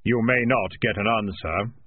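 A grim, weary Death acted out by a man for a fantasy storybook. The delivery is slow, husky and grave, every word weighed, through a narrow, tinny recording. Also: fairly low pitch, no echo, close to an everyday voice. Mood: solemn, dry. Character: Death, solemn, weary, unhurried reaper.

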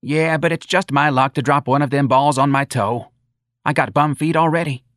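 A man's voice: on the high side, moderate pace, fairly bright tone, no echo, clean studio sound, voice pushed up, natural voice. A man voicing an aggrieved older working-class mother in an audiobook, with plaintive, folksy, ungrammatical talk.

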